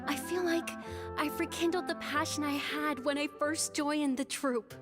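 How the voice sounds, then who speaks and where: An actress voicing a wistful, aging stage actress in a theater troupe in a video game. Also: female voice, sits high, steady tone, a little slow, over music. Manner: wistful, soft.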